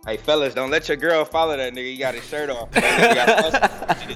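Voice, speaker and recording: A man's voice: on the high side, animated, moderate pace, sharp tone, faint music behind. A man co-hosting a podcast remotely, joking around and rambling with "you know" fillers. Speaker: podcast co-host joining remotely. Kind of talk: casual chat.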